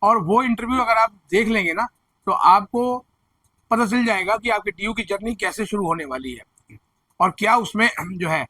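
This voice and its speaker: A man with a hoarse throat presenting education news, explaining admission figures and earnestly reassuring students and parents. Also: high-pitched, normal speed, sharp tone, no echo, poor audio. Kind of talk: direct-to-camera advisory commentary.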